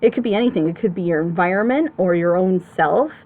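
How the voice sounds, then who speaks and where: A woman's voice: on the low side, lively intonation, fast talker, phone-quality sound. A woman giving casual critical commentary on storytelling as a panelist, emphatic and opinionated, explaining her points at length. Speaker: panelist on a reaction show.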